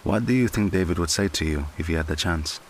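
A man acting a gentle, patient friend in an audio drama, consoling in a measured, coaxing way. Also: low voice, lightly acted. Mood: earnest, reassuring. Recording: clear background hiss.

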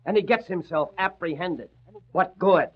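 High-pitched, griping newspaper reporter. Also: male voice, moderate pace, slightly rough. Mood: exasperated, sarcastic. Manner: sarcastic, griping. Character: excitable sarcastic male newspaper reporter, griping at his boss. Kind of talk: 1940s radio acting. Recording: muffled old radio recording.

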